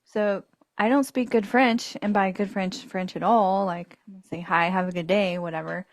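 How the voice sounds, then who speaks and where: A woman's voice: mid-range voice, moderate pace, dry recording. A woman with a mid-range voice hosts a podcast, laughing often as she tells her stories and acts out the voices of the people in them. Her voice is recorded close and dry. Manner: animated, laughing storyteller acting out voices in her story. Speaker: podcast host. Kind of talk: casual travel storytelling.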